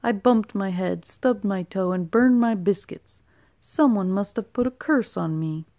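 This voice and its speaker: A woman puts on a salty old sea captain for a children's storybook. He is a blustery male sailor who speaks loudly and with emphasis. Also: mid-range voice, measured pace, no echo, studio quality, close to an everyday voice. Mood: grumbling.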